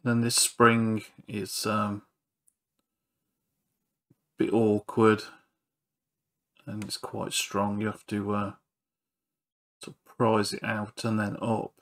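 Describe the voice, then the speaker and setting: Low-key man with slow, flat delivery. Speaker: repair channel presenter. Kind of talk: hands-on repair walkthrough narration.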